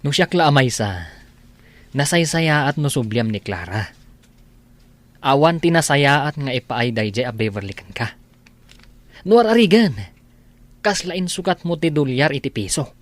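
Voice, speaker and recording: A man's voice: fairly high pitch, lively intonation, measured pace, pauses often, dry recording. A man with an Ilocano accent acting a concerned friend giving advice in a radio drama. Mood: advising. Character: concerned male friend, gently advising his drinking buddy.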